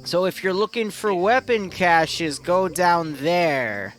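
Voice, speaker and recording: A man's voice: sits high, slow pace, a bit bright, faint music behind. A man voicing a shifty village goat shepherd with a put-on Nordic-sounding accent, stammering his eager denials. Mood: evasive. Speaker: game master. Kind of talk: in-character game roleplay.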